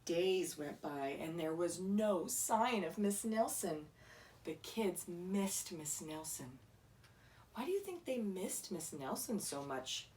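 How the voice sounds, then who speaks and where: A woman's voice: on the low side, animated, takes it slowly, darkish tone. A woman reading a children's picture book aloud like a teacher, explaining simply and putting questions to a young listener. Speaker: read-aloud storyteller, teacher. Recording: echoey room, distant microphone.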